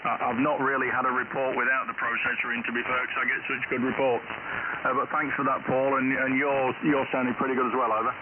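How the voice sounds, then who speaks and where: A man from north Lincolnshire with a deep voice, running an amateur radio net and passing the turn to each station in a relaxed, hesitant way. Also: fast. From north Lincolnshire, England. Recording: tinny shortwave radio with loud static.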